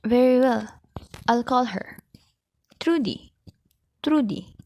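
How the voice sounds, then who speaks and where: Woman playing a very mellow, busy mother. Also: a little high, takes it slowly, dry recording. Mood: placating. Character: busy, placating but firm mother. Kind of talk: amateur English-language radio play.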